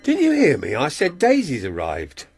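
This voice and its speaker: A husky, lively middle-aged husband. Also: male voice, on the high side, normal speed, faint music behind, heavily acted. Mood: impatient. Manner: hearty and fond, teasing his wife. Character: middle-aged husband and landlord, genial, fussing. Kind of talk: period radio drama acting.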